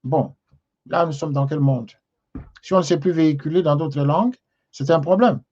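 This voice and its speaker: A man hosts a television talk show, reading and answering viewer messages. He talks haltingly, with a slightly high voice, and pauses often. The broadcast sound is dull and lo-fi. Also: darkish tone. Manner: hesitant, many fillers and restarts.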